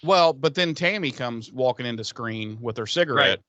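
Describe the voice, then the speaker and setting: Bright-voiced man joking crudely. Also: mid-range voice, lively intonation, moderate pace, dry recording, muffled recording. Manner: crude, sarcastic humor with swearing. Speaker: podcast co-host. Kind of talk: casual episode recap chat.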